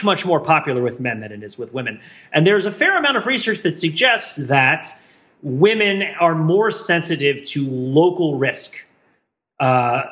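A man with a fairly high, very bright voice co-hosts a casual discussion podcast. He rambles animatedly through his reasoning, questioning himself with a wry edge. The recording is slightly dull and muffled. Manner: rambling, self-questioning, wryly animated argument. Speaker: podcast co-host.

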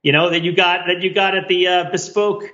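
A man hosting a casual panel podcast, rambling in an animated way and repeating phrases as he thinks aloud. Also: high-pitched, a little fast, dull audio. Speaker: podcast host.

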